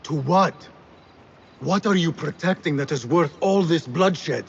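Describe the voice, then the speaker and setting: An actor playing a defiant, driven young assassin in a video game cutscene, with an intense, dramatic delivery. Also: male voice, a little high, measured pace, gravelly, highs cut off, slight background noise. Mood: grave, insistent.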